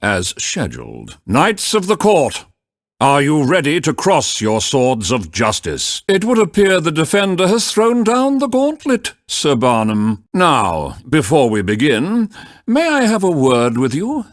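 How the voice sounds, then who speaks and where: A stern, elderly medieval judge for a video game. His pronouncements are slow, formal and pompous, with very dramatic swings in pitch. He is easily flustered, and the recording is dry and close. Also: huge pitch swings, no room sound, exaggerated voice. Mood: solemn, formal. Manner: formal, pompous, slow courtroom pronouncements, sputtering when surprised. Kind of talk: theatrical video game voice acting.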